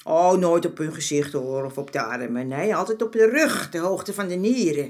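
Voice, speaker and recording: A woman's voice: lowish voice, moderate pace, darkish tone, close to an everyday voice. An actress playing a streetwise, motherly older woman in a radio drama, talking bluntly and familiarly. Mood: frank, knowing. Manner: familiar, blunt, calls men "jongen". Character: streetwise, motherly older woman of the red-light district, frank. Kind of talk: naturalistic Dutch radio drama acting.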